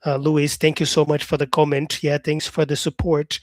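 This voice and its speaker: A man's voice: on the high side, monotone, normal speed, a little mellow, dry recording, studio quality. Chatty man teaching English live. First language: Portuguese. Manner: chatty, reads viewer comments aloud and answers them. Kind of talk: live stream chat.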